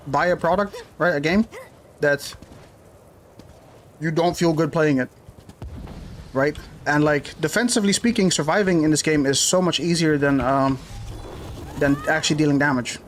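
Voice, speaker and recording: A man streaming a game live, rambling casually and thinking out loud as he plays and answers chat. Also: a little high, moderate pace, long pauses, a little hiss or hum. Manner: rambling, laid-back, thinks aloud with fillers.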